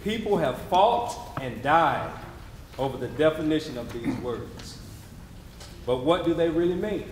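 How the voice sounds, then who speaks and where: A man's voice: on the high side, expressive, measured pace. A man delivering scripted theatrical narration as a stage narrator, earnest and rhetorical in manner. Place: large hall, live stage performance. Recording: echoey hall, audience and noise.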